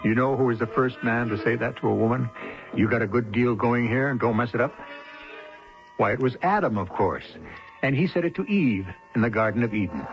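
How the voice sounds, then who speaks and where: A man's voice: mid-range voice, expressive, a little fast, soft background music. A man hosting a radio drama with scripted narration in a wry, rhetorical, literary manner. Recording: dull old radio recording.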